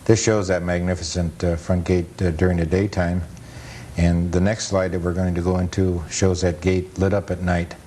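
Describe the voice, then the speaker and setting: A man's voice: deep voice, flat delivery, normal speed, dark tone. An American man, a guest on a television interview, explaining things in a plain, matter-of-fact, conversational way. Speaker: interview guest, photo historian and author. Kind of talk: casual explanatory talk. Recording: noisy 1980s cable TV recording.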